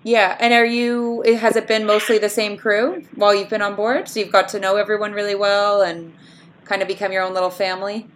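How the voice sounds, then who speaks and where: A woman's voice: medium pitch, fairly even intonation, brisk, a little hiss or hum. A woman hosting a casual interview, chatty and affirming, often tagging questions with "right". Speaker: host.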